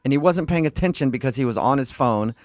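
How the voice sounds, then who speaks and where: An animated American man hosts a morning radio show. His pitch swings widely as he banters, slipping into mocking imitations with a sarcastic, teasing edge. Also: fast talker.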